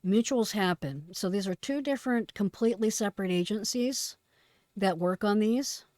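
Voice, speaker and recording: A woman's voice: mid-range voice, moderate pace, darkish tone, no room sound, clean studio sound. A woman giving casual, instructive commentary as a presenter of emergency radio traffic.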